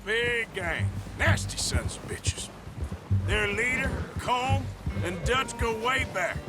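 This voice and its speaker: A man's voice: very high voice, expressive, slow pace, loud music behind the voice, exaggerated voice. An actor playing a seasoned, tough outlaw gunslinger in a video game, a man with a dry, plainspoken American Western drawl. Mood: grim. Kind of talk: video game dramatic dialogue.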